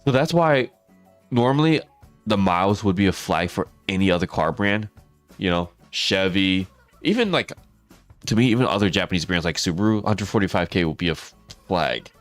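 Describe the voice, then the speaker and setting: Hoarse low-voiced man chatting with viewers. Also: animated, moderate pace, faint music behind. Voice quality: raspy. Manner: chatty, talks to viewers by name, laughs often. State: hoarse, losing his voice. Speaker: streamer. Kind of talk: casual livestream chat.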